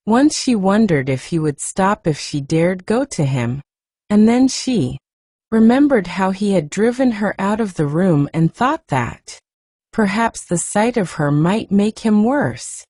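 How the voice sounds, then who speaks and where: A woman softly reading a classic story aloud as an audiobook narrator on a close microphone. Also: medium pitch, animated, a little slow, dry recording. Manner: soft, gentle reading. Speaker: audiobook reader.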